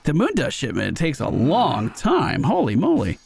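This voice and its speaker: A man's voice: a little high, wildly swooping pitch, moderate pace, vocal fry, a little mellow, slight background noise. A man giving casual gameplay commentary, talking to himself and his viewers and swearing now and then. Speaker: gaming streamer.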